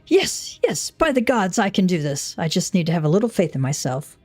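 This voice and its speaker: A woman's voice: fairly low pitch, sing-song, a little fast, darkish tone, soft background music. A woman voicing an anxious, devoted inventor husband in a video game, speaking in a formal, wordy, self-doubting way. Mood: determined.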